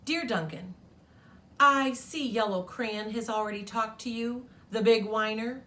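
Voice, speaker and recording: A woman's voice: on the high side, a little slow, sharp tone. A woman voicing a smug orange crayon from a storybook, emphatic and scornful of its rival.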